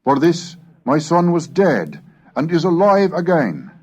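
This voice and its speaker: A man reading a scripted educational narration in a formal, measured, oratorical manner. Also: fairly high pitch, wildly swooping pitch, darkish tone, slightly rough. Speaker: lecturer and reader. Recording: dull lo-fi cassette recording.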